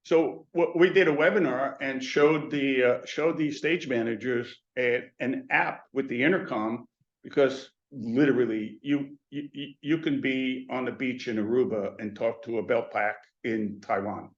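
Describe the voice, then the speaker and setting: A man's voice: mid-range voice, measured pace, lo-fi sound. An older man presenting on theater intercoms in a webinar, talking in loose runs with many restarts and fillers. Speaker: intercom industry educator.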